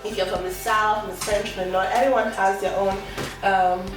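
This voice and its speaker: A woman from Rome, a guest on a podcast, chatting relaxed and laughing easily. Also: medium pitch, brisk. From Rome, Italy. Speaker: guest, caterer. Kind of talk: casual interview chat. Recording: echoey room, distant mic, music behind.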